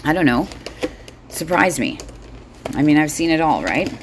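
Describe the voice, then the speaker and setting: Middle-aged woman, deep register, blunt casual comic monologue, some background noise. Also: big pitch swings, normal speed. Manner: blunt, sweary, wry. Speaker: solo host.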